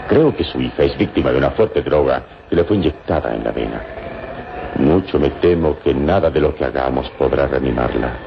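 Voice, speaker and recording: Very deep, gravelly, grave radio hero. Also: male voice, animated, full character voice. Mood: grave, explanatory. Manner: grave, measured, deliberate. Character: calm, wise male hero with hypnotic powers. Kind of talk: old Latin American radio drama acting. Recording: dull, noisy old radio recording.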